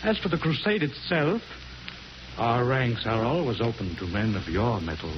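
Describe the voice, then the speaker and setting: An actor plays an oily, self-important colonel in a vintage radio play. He speaks formally at a medium pitch with sweeping intonation, on a noisy old broadcast recording. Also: animated, moderate pace, lo-fi sound, natural voice. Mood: smugly persuasive. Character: smooth, self-important bigoted colonel recruiting for his crusade. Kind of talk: 1940s radio drama acting.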